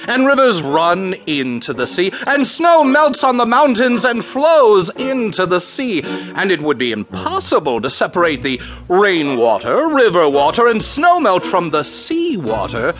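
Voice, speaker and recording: A quick-witted, deferential slave played by a man in a storytelling show. He is polite and earnest, with a high, very lively voice. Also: soft background music, full character voice. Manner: polite, hesitant openings.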